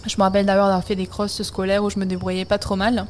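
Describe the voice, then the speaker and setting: A woman in her thirties from France, telling her own story in an interview, relaxed and reflective with many hesitant pauses. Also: mid-range voice, slight background noise. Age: 30s. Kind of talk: personal testimony interview.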